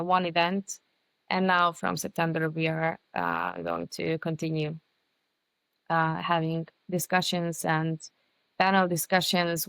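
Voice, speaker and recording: A woman's voice: on the low side, gravelly, fairly bright tone, clear, resonant tone, dry recording, rough recording. A young woman with Hungarian and Serbian as her first languages, chatting thoughtfully as a podcast guest and often restarting words. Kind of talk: casual interview chat.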